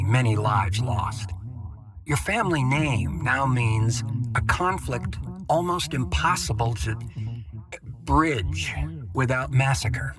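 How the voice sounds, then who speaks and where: Audio drama casting: grave, reassuring confidant who knows the secrets, mid-pitched, unhurried, crowd behind. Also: male voice. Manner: deliberate, dramatic pauses. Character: grave knowing male confidant, reassuring and wry.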